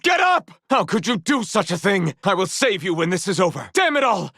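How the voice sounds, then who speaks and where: A man's voice: sits high, very animated, fairly quick, vocal fry, bright, forceful tone, no echo, clean studio sound, exaggerated voice. A man playing a confident, proud naval commander in a video game, speaking in formal, heroic phrasing. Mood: alarmed, distressed. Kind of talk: video game character battle lines.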